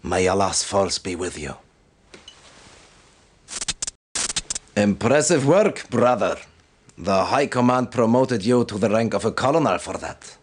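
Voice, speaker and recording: An actor playing a stern, devout military commander who gives solemn, formal and deliberate briefings in a video game. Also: male voice, on the low side, animated, a little hiss or hum, natural voice. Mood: firm, urgent.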